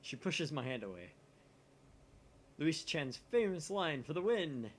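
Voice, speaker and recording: A man's voice: on the high side, unhurried. A man narrating a visual novel aloud expressively, dropping in casual asides. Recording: steady faint background noise.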